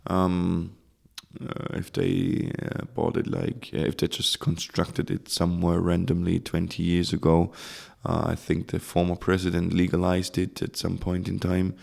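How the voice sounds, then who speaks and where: A man's voice: low-pitched, flat delivery, measured pace, vocal fry, a little mellow. A German man speaking English with a German accent, as a guest in a casual interview, rambling and searching for words. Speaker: guest, community founder.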